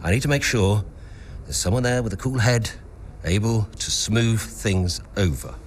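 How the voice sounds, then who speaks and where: A middle-aged man playing a stern racing team owner in a game cutscene, speaking in a measured, deliberate, halting way. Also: fairly low pitch, animated, a little hiss or hum. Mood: commanding. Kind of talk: acted cutscene dialogue.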